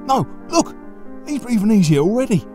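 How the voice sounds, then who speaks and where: A man's voice: high-pitched, very animated, moderate pace, mellow, faint music behind. A man acting an anxious, impatient young friend in an audio drama, nervous and pleading. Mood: panicked, pleading.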